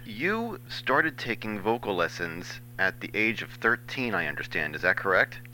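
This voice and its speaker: Bright-toned male interviewer, faint hum. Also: lowish voice, very animated, low-quality recording. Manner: brisk, polite questions. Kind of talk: radio-style interview.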